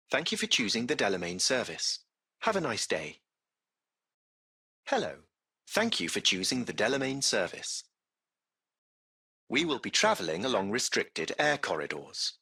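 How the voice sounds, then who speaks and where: AI chauffeur, very animated intonation, gravelly edge, formal, servile, ornate phrasing, video game concierge. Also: male voice, on the low side, full character voice. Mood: courteous, businesslike. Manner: formal, impeccably polite, ornate, measured diction. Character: courteous, servile artificial intelligence chauffeur of a luxury taxi. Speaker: AI driver and concierge.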